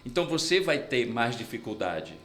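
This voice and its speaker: A man, an interpreter and English mentor, speaking as an interview guest. He explains his views earnestly and emphatically, in a voice of middling pitch. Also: big pitch swings, moderate pace, a bit bright, slight background noise. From Brazil.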